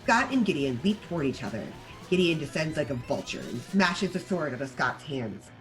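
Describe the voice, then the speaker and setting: A narrator reads out screenplay action in a scripted table read. The voice sits mid-range and moves with lively pitch, over faint music. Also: moderate pace, rough recording. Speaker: narrator reading stage directions.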